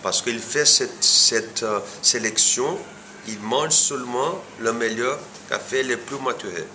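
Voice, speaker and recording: A man's voice: medium pitch, pushed and bright. A man in his forties with a Brazilian accent, searching for words and repeating them as he explains things in an informal interview. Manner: halting, word-searching non-native French with repeated words. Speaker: coffee farm owner being interviewed. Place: indoor room, seated before camera. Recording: clearly noisy room ambience.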